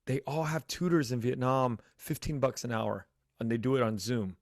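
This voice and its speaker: Gravelly-voiced relaxed male podcast host. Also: medium pitch, normal speed, no room sound, clean studio sound. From United States. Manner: relaxed, friendly, many fillers and restarts. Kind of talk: casual interview chat.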